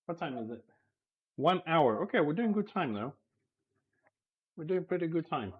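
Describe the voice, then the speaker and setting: A Greek man with a slight lisp and a fairly high voice chats easily on a live stream while he cooks at home. His talk is loose and friendly, and he laughs a lot between thoughts. Greek is his first language, and his lisp shows in English. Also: very animated, moderate pace, slightly rough, lo-fi sound. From Greece. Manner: easygoing and chatty, laughs often. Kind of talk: casual live-stream chat while cooking. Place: home kitchen.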